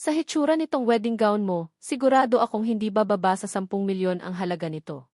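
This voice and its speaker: A woman's voice: mid-range voice, fast talker, darkish tone, dry recording, clean studio sound, close to an everyday voice. A woman with a Filipino accent voicing an envious, chatty makeup artist's assistant in an audiobook, gushing and confident. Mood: envious awe.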